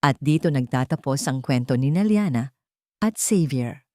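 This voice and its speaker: A woman narrating a scripted romance story in a sentimental, deliberate way. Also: rumbling low, animated, darkish tone, no room sound, studio quality.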